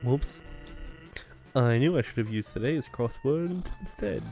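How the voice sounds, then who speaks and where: Young male co-host, animated let's-play banter, game music under. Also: medium pitch, a little slow. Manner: laughing, playful banter. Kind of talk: casual let's-play chat.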